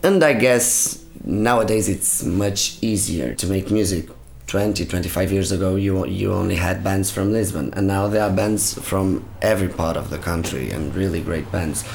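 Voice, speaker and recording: A Portuguese-accented man, a musician speaking English as a second language in an interview, earnest and opinionated, pausing to find his words. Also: low voice, creaky, darkish tone, a little hiss or hum. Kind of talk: interview answers.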